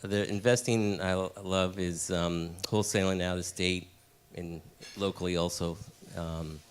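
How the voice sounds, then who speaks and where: Low-voiced self-deprecating man. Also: fairly even intonation, measured pace. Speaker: real estate agent. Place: meeting room.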